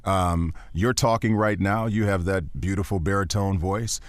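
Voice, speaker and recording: Low baritone guy, voiceover teacher, earnest and emphatic, dry close sound. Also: steady tone, moderate pace, no room sound. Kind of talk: podcast interview guest.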